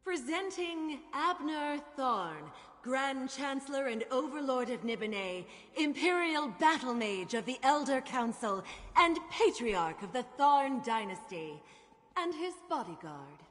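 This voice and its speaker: A woman's voice: sits high, sing-song, measured pace, fairly bright tone, heavily acted. A woman voicing a formal court herald in a video game, proclaiming in a ceremonious manner. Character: formal court herald announcing grand titles. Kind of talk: video game character acting. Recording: long reverb, ringing hall.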